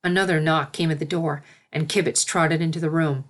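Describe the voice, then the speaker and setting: A woman's voice: low voice, steady tone, fairly quick. A woman narrating a scripted story as its reader.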